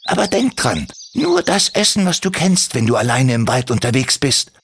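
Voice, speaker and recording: A man voicing a small, kind male kobold healer in a children's story, eager and gently questioning. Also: mid-range voice, very animated, normal speed, creaky, slight background noise, pitched above the performer's own voice. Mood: caring.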